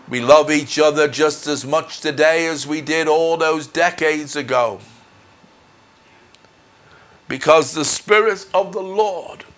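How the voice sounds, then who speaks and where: Slow-paced middle-aged male preacher. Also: fairly high pitch, fairly bright tone. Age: middle-aged or older. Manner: measured, earnest, deliberate phrasing. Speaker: minister. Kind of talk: personal testimony sermon. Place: indoors in front of a dark curtain. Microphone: lapel mic.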